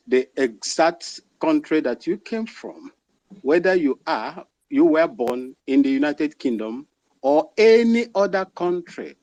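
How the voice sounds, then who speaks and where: A man with a Ghanaian accent, moderating a live discussion and putting questions to a guest in a measured, deliberate way. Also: a little high, darkish tone, dry recording. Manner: measured, deliberate, slows for emphasis.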